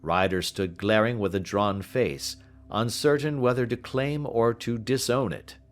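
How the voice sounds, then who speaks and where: A man's voice: lowish voice, unhurried, faint music behind. A man reading a scripted story as an audiobook narrator. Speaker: audiobook reader.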